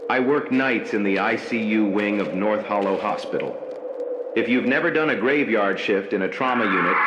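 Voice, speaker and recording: A man playing a haunted night-shift hospital worker, narrating a scripted horror story in slow, measured, ominous phrases. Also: fairly low pitch, roomy sound, background noise, close to an everyday voice.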